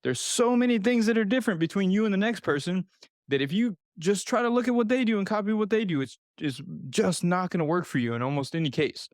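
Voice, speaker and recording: A man's voice: high-pitched, lively intonation, quick pace, dry recording, studio quality. A man in his thirties hosting a solo nutrition podcast, talking straight to the listener in an earnest, repetitive coaching manner. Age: 30s. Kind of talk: solo podcast advice talk.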